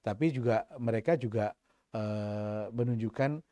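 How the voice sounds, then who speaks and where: A middle-aged man with a faint East Javanese accent speaks in a low, mellow voice. He answers reflectively, with frequent fillers and restarts, as a podcast guest. The sound is dry and close, with no room around it. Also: measured pace, no room sound. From East Java, Indonesia. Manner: thoughtful, hesitant, many fillers and restarts. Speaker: guest, senior finance official. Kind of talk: podcast interview chat.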